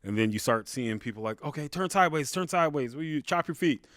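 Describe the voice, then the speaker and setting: A man with a big voice, a podcast guest, telling a personal story in a casual, halting, conversational way. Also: fairly high pitch, animated, fairly bright tone, dry recording, clean studio sound. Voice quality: big, carrying voice. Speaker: podcast guest, stand-up comedian.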